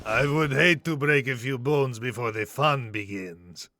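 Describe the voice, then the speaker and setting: A man's voice: mid-range voice, background noise, close to an everyday voice. Man playing a brutish, mock-friendly henchman. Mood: menacing. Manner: taunting, deliberate threats. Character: menacing male hired henchman, loyal, brutish, mockingly friendly. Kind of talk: audio drama acting.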